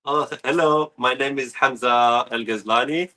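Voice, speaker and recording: Man, chocolatier guest. Bright voice, rambles with lots of "like". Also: medium pitch, moderate pace. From Morocco. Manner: rambling, many "like" fillers. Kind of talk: casual live-stream introduction.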